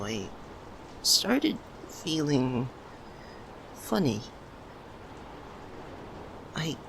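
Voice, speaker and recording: A nervous, lovesick young man, played by a male voice actor in an audio roleplay. He talks very slowly, halting and stammering as if to his childhood best friend. There is clear ambient noise behind him. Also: medium pitch. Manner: hesitant, halting, stammering with pauses. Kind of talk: ASMR roleplay, soft emotional monologue to the listener. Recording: noticeable steady background ambience noise.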